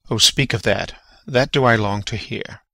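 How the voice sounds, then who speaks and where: An actor playing a stately, commanding king in a classical drama reading, formal and measured. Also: mid-range voice, bright, forceful tone, no echo. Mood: expectant.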